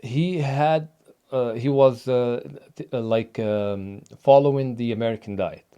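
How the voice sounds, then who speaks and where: A man's voice: mid-range voice, unhurried, mellow, clean tone. A man originally from Tunisia, a fitness trainer talking casually in an interview, emphatic and hesitant with many fillers.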